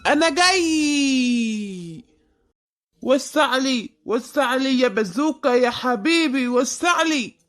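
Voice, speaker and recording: An elderly Egyptian cartoon grandfather with a high voice in a dry recording. He is kindly and fussy, easily rattled, and draws out his exclamations like a comic storyteller. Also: expressive, takes it slowly, a bit bright, no room sound, soft background music, exaggerated voice. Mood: unhurried. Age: older.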